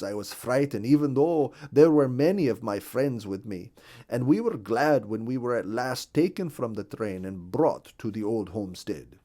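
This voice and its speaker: Man reading as a timid, mellow partridge newcomer. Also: fairly low pitch, exaggerated voice. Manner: formal, earnest storybook speech. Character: polite newcomer partridge from far away, timid and confused.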